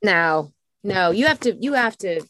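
An American woman in casual podcast chat who also reads a book aloud with animated storytelling. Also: medium pitch, a little slow, no room sound.

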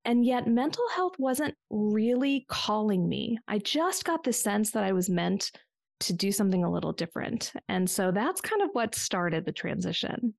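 Middle-aged American woman, slightly creaky voice. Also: medium pitch, very animated, normal speed, no echo, studio quality. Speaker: interview guest, coach and former therapist. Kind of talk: reflective personal storytelling in conversation.

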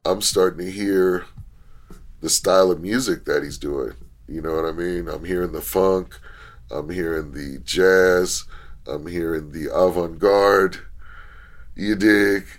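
A man with a deep voice, a trumpet player, recalling studio work in laid-back conversation. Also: unhurried. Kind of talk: casual interview recollection.

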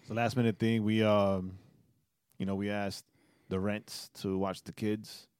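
Animated man in his forties, dry mic. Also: on the low side, a little slow, clean tone, dry recording. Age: 40s. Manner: animated storyteller, laughs often, says "right?" a lot. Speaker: podcast co-host. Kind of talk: casual chat.

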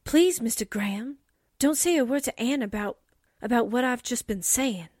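A woman's voice: fairly high pitch, normal speed, a little mellow, no echo, clean studio sound, lightly acted. A woman playing a plucky, suspicious young newspaper secretary in a theatrical 1940s radio drama style. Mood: anxious secrecy.

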